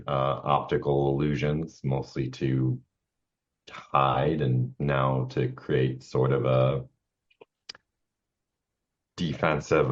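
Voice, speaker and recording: A man chatting casually as a player in a tabletop game, hesitant and halting, through a dull lo-fi microphone. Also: rumbling low. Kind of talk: casual chat.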